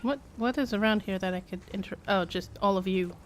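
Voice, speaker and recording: A woman's voice: medium pitch, a little fast, a little hiss or hum. A woman giving casual, playful live commentary as a game streamer, with wry asides.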